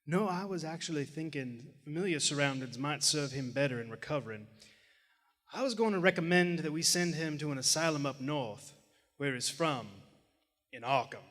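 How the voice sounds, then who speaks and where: A man playing a measured, formal, slightly halting doctor in a live old-time radio drama in a hall. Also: fairly high pitch, animated, a bit bright. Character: measured, hesitant male doctor. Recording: reverberant hall, music behind.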